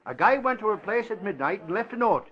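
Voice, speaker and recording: An actor playing a blustering, excitable, slow-witted newspaper reporter in a vintage radio drama. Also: male voice, high-pitched. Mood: excited. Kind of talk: 1940s radio acting. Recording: dull vintage radio recording.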